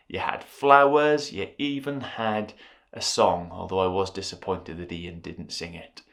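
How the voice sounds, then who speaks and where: A man's voice: fairly low pitch, creaky. A man presenting and reading a children's Bible story in a chatty, storytelling style.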